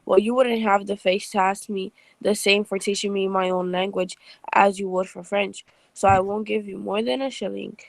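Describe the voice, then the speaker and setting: A woman acts out a poor young flower girl for a reader's theatre play. She is proud and easily offended, protesting in whiny colloquial speech. The voice creaks and sounds close and dry. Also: medium pitch, steady tone, moderate pace, dry recording, close to an everyday voice. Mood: indignant.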